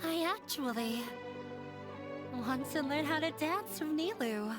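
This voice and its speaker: Timid young girl for a game: high-pitched, hesitant, music underneath. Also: animated, takes it slowly. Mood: shy. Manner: shy, hesitant. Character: shy, hesitant young girl who longs to dance. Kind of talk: scripted video game dialogue. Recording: music behind the voice.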